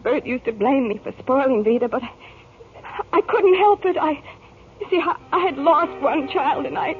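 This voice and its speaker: Actress playing anguished protective mother, high female voice, pleading, halting, vintage radio melodrama, tinny old recording. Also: natural voice. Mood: regretful, confessional. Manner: earnest, pleading, halting when emotional. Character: anguished, protective mother and divorced widow, adult. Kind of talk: 1940s radio drama acting. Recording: tinny, noisy 1940s radio broadcast recording.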